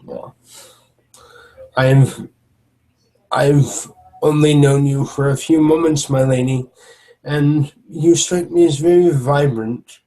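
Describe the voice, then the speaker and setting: A man voicing a huge, gentle male troll knight who speaks with formal, soft-spoken courtesy. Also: on the high side, steady tone, takes it slowly, pauses often, faint music behind, low-quality recording. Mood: courtly.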